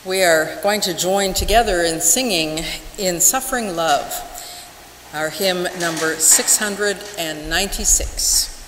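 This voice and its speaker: An older woman preaching a sermon as a minister, measured and deliberate with emphatic pauses. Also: on the low side, a bit bright. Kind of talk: church sermon. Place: large wooden church sanctuary, at the pulpit. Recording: echoey church hall with hiss.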